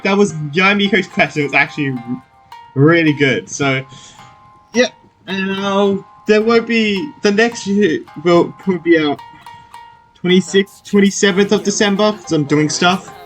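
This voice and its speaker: A man's voice: sits high, measured pace, a bit bright, soft background music. A man giving casual gameplay commentary as a gaming video creator, with muttered, hesitant asides.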